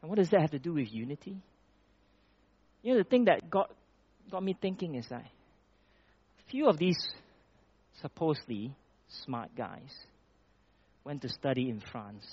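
A man's voice: a little high, lively intonation, normal speed, pauses often, darkish tone, breathy edge, dull audio. Man from Malaysia preaching a sermon. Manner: reads scripture with rising emphasis, then talks plainly with restarts.

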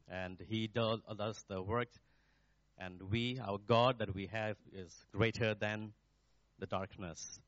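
A man's voice: lowish voice, no room sound. Man with a Nepali accent preaching. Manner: halting, searching for words. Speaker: guest preacher. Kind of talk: sermon. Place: church. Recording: dull, dry sound.

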